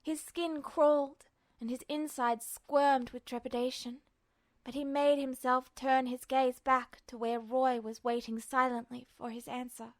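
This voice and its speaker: A woman's voice: sits high, flat delivery, measured pace. A woman reading fiction aloud as a dramatic, scripted audiobook narration. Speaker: fan fiction podfic reader.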